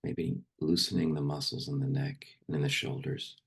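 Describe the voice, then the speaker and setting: A man speaking as a meditation guide and poetry reader, slow and unhurried, with long pauses between phrases. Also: extremely low pitch, steady tone, gravelly, slightly rough.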